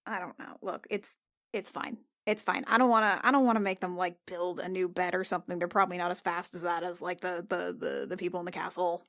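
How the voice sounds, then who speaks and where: A woman playing a flustered, awkward adventurer in a tabletop game, halting, repetitive and over-insistent. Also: on the low side, fairly even intonation, vocal fry, a bit bright. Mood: uneasy, hesitant. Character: flustered, awkward young adventurer hiding embarrassment.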